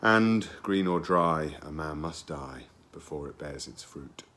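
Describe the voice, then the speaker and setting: A man's voice: extremely low pitch, lively intonation, measured pace, fairly bright tone. A man reading poetry aloud as a dramatic recitation. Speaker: poetry reader.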